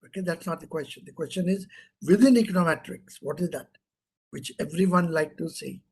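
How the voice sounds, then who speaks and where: A man's voice: on the high side, a little fast, vocal fry, darkish tone, husky. A middle-aged man with an Indian English accent, giving an interactive online lecture, asking questions and repeating key terms for emphasis.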